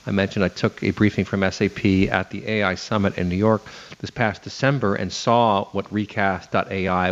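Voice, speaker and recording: A man with a fairly low voice hosts talk radio. He is chatty and folksy, talking to listeners conversationally and posing rhetorical questions. The sound is a little muffled. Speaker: radio show host.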